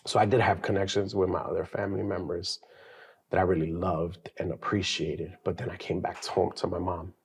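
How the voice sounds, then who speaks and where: A man co-hosting a faith podcast, sharing his childhood story in a casual, animated way with some slang. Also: deep voice, gravelly. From New York. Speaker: podcast co-host. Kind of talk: casual testimony chat.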